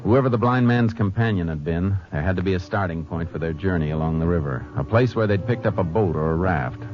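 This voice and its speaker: A man playing a deep, clipped Texas Ranger. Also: a little fast, lightly acted. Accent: American. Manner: clipped, matter-of-fact delivery. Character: steady, terse Texas Ranger lawman. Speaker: lead actor and narrator. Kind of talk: 1950s radio drama acting. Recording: muffled old radio broadcast with music.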